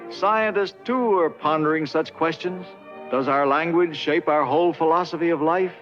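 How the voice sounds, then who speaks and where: An older man playing a patient, kindly professor, lecturing deliberately and reassuringly in a 1950s educational film. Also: on the high side, a bit bright, natural voice. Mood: earnest, lecturing. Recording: dull vintage film soundtrack, music behind.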